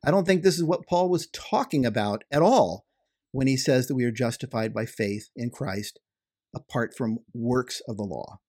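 A man of middle pitch talks through a theological argument with earnest emphasis. He often circles back and restates his points so they land clearly. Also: normal speed, a little mellow. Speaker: podcast co-host. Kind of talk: theological conversation.

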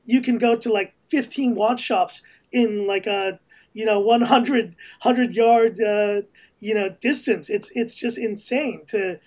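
A man's voice: high-pitched, fairly even intonation, takes it slowly, darkish tone. A man with an American accent, a podcast guest talking casually and rambling with many restarts. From raised in Hong Kong, family from El Salvador. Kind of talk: casual conversation.

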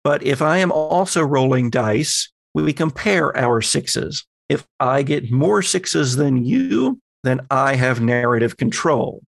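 A man acting as game master, explaining tabletop game rules in a measured, conversational, lightly playful way. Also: medium pitch, animated, gravelly, no room sound.

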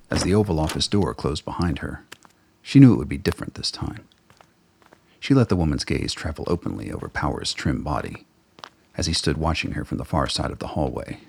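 Casting: gravelly, very deep male narrator, steady and even. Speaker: writer and narrator. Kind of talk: scripted radio play narration.

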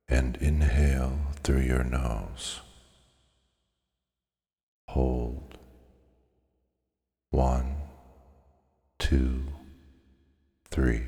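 A man narrating a scripted guided meditation in a measured, soothing, hypnotic way with deliberate pauses. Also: extremely low pitch, mellow. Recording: long cavernous reverb on the voice.

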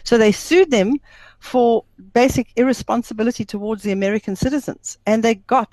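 A woman's voice: medium pitch, darkish tone, no echo, poor audio. Middle-aged South African woman, emphatic interview guest, very dry close sound. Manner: emphatic, talkative, explains at length. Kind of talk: interview conversation.

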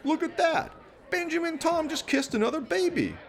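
A man voicing a gushing, excitable male television news reporter in a radio play, full of exclaiming showmanship. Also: extremely high pitch, normal speed, some chatter behind, pitched above the performer's own voice. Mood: gushing excitement.

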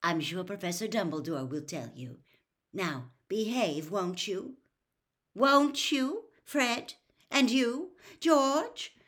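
A motherly middle-aged woman fusses and gently scolds in a storybook scene, as a woman narrator puts her on. Her voice rises high and lilts. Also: expressive, a little slow. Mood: fussing.

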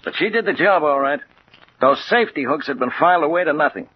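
Actor as a wry police captain, highish voice. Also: male voice, expressive, natural voice. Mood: brisk. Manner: brisk, wry, doubtful. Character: skeptical, wry, hard-boiled police captain. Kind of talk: 1940s radio drama acting. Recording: dull, noisy 1940s radio recording.

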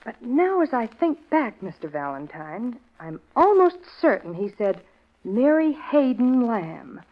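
An actress playing an earnest, shaken young nurse in a 1940s radio drama, speaking hesitantly. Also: female voice, on the high side, big pitch swings, unhurried. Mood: earnest recalling. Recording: muffled vintage radio recording.

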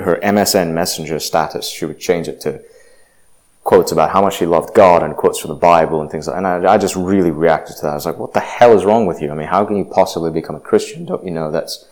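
A man's voice: low voice, darkish tone. A man giving a reflective personal testimony, hesitant and full of fillers and restarts. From grew up partly in Indonesia.

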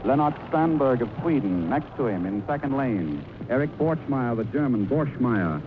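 A man's voice: medium pitch, sing-song, moderate pace. A man giving live 1930s radio commentary on an athletics race. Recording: tinny, noisy 1930s radio transmission.

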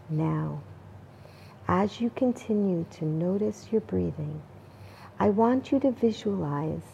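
A woman guides a meditation in a dark, relaxed voice with some creak in it. She moves calmly through short phrases and leaves space between them. The recording is a little dull, with faint noise behind her. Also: lowish voice. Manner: calm, deliberate, with long pauses between short phrases. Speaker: meditation guide, spa owner and life coach.

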